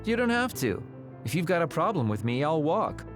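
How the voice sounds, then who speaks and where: A man's voice: on the high side, big pitch swings, normal speed, over music. A man voicing a cynical, guarded young man in an English video game dub, speaking in a wry, blunt way. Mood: defiant.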